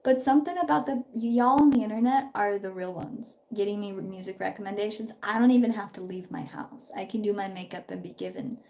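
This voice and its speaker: A woman's voice: mid-range voice, brisk, creaky, hardly any pauses, darkish tone. A young woman in her twenties, a bit sick, chatting upbeat and rambling during a casual live stream at home while doing her makeup. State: slightly sick. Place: home, her parents' house.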